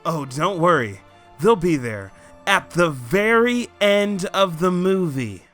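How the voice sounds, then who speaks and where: Young American man, high voice, very lively intonation, excitable exasperated countdown commentary, light music bed. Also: slow pace. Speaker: co-host of a countdown show. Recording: music under the voice.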